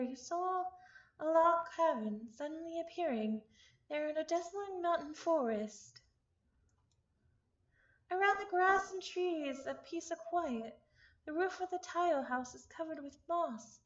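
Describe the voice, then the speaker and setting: Female visual novel reader, high-pitched, expressive narrator who chats casually, slightly dull lo-fi sound. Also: a little slow. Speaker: streamer reading a visual novel aloud.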